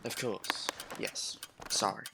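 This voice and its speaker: A man's voice: slow pace, sharp tone, a little hiss or hum. A man playing an earnest, fair-minded grown son in a Victorian audio drama, polite but insistent. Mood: earnest, exasperated.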